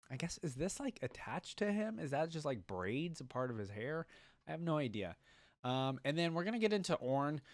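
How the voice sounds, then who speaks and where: A young man gives casual, thinking-aloud commentary as a video host. His voice sits at a medium pitch, and the sound is dry, with little room around it. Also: no echo. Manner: informal, musing aloud.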